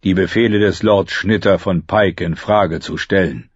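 A man voicing a stern, commanding priest with a deep voice in an audiobook. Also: steady tone, moderate pace, husky, no echo, highs cut off. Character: stern, austere priest uncle, tall holy man. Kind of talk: audiobook character voice.

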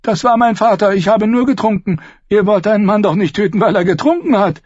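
A man's voice: lively intonation, a little slow, slightly rough, dry recording, dull audio, exaggerated voice. A man voicing a terrified, pleading captive in an audiobook, stammering and whining in desperate self-excuse. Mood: desperate, defensive. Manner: stammering, whining, shrill when panicked. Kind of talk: audiobook character voice.

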